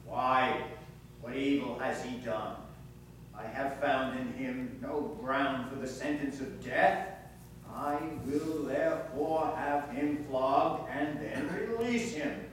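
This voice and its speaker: A man playing a stern governor in a dramatic church scripture reading, speaking in a deliberate, declamatory way. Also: medium pitch, close to an everyday voice. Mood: insistent. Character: stern male governor pleading an accused man's innocence. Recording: echoey church, distant mic, faint noise.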